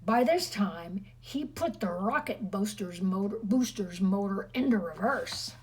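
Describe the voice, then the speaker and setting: A woman's voice: mid-range voice, lively intonation, a little slow, darkish tone, husky. A woman reading a storybook aloud expressively to children, with chatty, teasing asides to her listeners.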